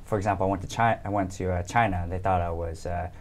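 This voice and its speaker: A man in his thirties from the United States, talking casually as an interview guest, relaxed with many ums and easy laughs. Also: sits low, quick pace, a little hiss or hum.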